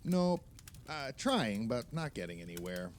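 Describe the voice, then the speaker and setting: A man's voice: medium pitch, big pitch swings, unhurried, slight background noise. An actor playing a fretful, self-doubting older male novelist in a naturalistic audio play, hesitant and prone to rambling complaint. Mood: sheepish, downcast.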